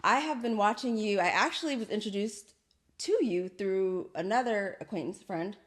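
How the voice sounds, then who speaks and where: A woman hosting a podcast, chatting casually and affirmingly, with lively colloquial phrasing. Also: medium pitch, normal speed. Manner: lively, colloquial, laughs often, affirming fillers. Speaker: podcast host. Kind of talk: casual interview chat.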